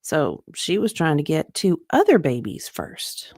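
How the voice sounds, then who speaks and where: This is a woman reading witness testimony as a guest on a show. Her pitch moves around a lot, and she is casual, a little hesitant and quick to giggle. She sounds close and dry, with almost no room. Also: wildly swooping pitch, a little mellow, dry recording. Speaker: guest co-reader. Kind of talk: reading a deposition witness's part, with casual banter.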